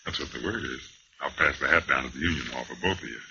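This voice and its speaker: A very deep-voiced piano man in an old radio drama. He is boozy and dreamy, rambling in florid hipster poetry. The sound is dull and clearly noisy. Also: quick pace, pushed and bright, exaggerated voice. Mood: confiding. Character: dreamy, boozy male nightclub piano player. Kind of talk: old-time radio noir acting.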